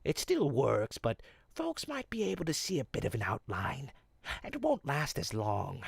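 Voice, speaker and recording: A performer voicing a sly, smooth-talking goblin potion peddler in an audio roleplay, with folksy, teasing salesman patter. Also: mid-range voice, wildly swooping pitch, normal speed, no echo, full character voice. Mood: confiding, curious. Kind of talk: audio roleplay, in-character monologue to listener.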